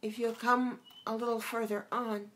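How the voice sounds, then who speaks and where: A man reading aloud and voicing a young fawn with a soft, sweet voice. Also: very high voice, level tone, normal speed, voice pushed up. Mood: gentle.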